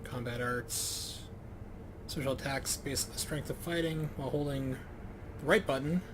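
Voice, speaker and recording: A man streaming a video game and thinking aloud, reading tutorial text in a halting way and correcting himself as he goes. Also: mid-range voice, noisy background.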